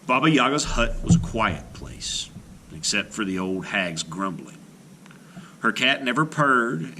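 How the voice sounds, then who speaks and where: A man reading a children's folktale aloud as scripted narration, with dramatic delivery and hushed phrase endings. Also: on the low side, a little hiss or hum. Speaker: storyteller, children's read-aloud host. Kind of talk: expressive scripted storybook narration.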